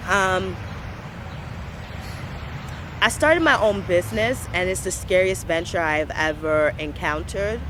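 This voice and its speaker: A young woman from Brooklyn, speaking earnestly and passionately about her community in a personal interview, repeating words for emphasis. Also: lowish voice. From Brooklyn, New York, USA. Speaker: interviewee, local business owner. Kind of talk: personal reflective testimony. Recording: street recording with traffic noise.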